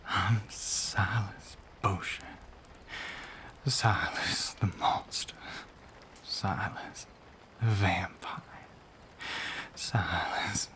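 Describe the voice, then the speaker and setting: A low-voiced, whispery Southern vampire, acted by a man, speaks in an intimate audio roleplay. He is a lonely, tormented gentleman with a Southern US accent, drawing out his genteel, old-fashioned phrases very slowly and breathily.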